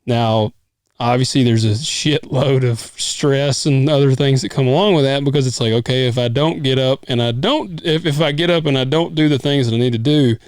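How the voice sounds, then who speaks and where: A man's voice: mid-range voice, normal speed, darkish tone, clear, resonant tone, dry recording. A man in his thirties, a farmer co-hosting a podcast, talking in an easygoing, rambling way with casual swearing. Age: 30s. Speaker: podcast co-host. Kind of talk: casual chat.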